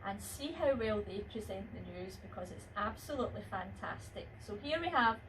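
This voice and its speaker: A woman's voice: medium pitch, lively intonation, moderate pace, a bit bright. A woman teacher leading a school assembly, speaking encouragingly to the children. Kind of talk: assembly address to pupils. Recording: distant mic, faint room noise.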